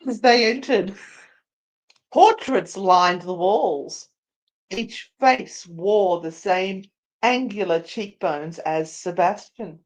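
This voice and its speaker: A woman's voice: mid-range voice, slow pace, rough recording. A woman co-hosting and narrating a live dramatic story reading, playful and theatrical, slipping into chatty banter. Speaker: co-host and narrator.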